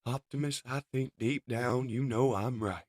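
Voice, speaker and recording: A man playing a gentle pacifist robot in a comic dub, calm, sincere and firm. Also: medium pitch, moderate pace, no echo, clean studio sound, natural voice. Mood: resolute. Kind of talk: comic dub character acting.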